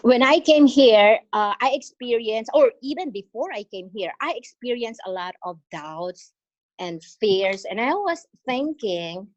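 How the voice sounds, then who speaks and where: A woman from the Philippines with a Filipino accent, an exchange teacher giving an earnest, encouraging talk in an online meeting, pausing often. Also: on the high side, lively intonation, no room sound, highs cut off. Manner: earnest and encouraging, with frequent pauses and fillers. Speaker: exchange teacher sharing her experience. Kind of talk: motivational presentation in an online meeting. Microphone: video call.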